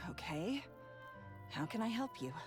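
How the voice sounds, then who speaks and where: A woman's voice: on the high side, sing-song, measured pace, over music. An actress playing a guarded, bitter woman in a video game. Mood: cautious, warning. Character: guarded, bitter woman haunted by an old death.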